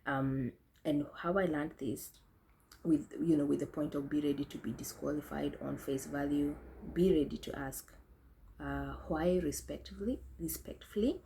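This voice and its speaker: A young woman from Kenya talks through her own experiences with a low, mellow voice. She is thoughtful and often restarts her sentences as she works out what to say. A faint ambient hiss sits behind her. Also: moderate pace, creaky, low-quality recording. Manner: thoughtful, self-correcting, frequent restarts and fillers. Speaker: speaker sharing experiences. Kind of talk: reflective talk to camera.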